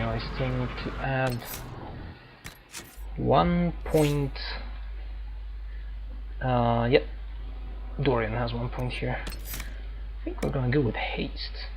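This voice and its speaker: A man hosting a gaming playthrough, commenting casually in a halting way as he searches for words. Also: medium pitch, vocal fry. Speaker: gaming video host. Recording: dull sound, faint background noise.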